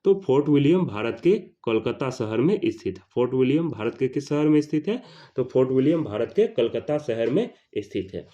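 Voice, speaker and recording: A man with a mellow, dark voice teaches exam questions and answers. He sits at a middling pitch and repeats each point for emphasis. The recording is slightly dull and lo-fi. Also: big pitch swings, fairly quick.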